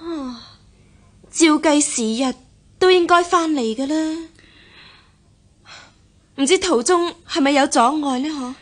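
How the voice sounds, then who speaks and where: A woman acting a devoted, gentle young unmarried daughter in a Cantonese radio drama, with a coaxing manner. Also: sits high, slow, long pauses. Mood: consoling.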